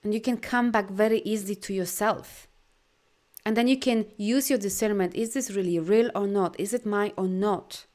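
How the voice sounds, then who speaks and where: A woman with a Polish accent, a native Polish speaker, leading a live video talk as a life coach. She speaks in an animated, encouraging way with loose grammar and many "you know"s. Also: medium pitch. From Poland. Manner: soft, slow guidance during breathing. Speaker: life coach and energy healer.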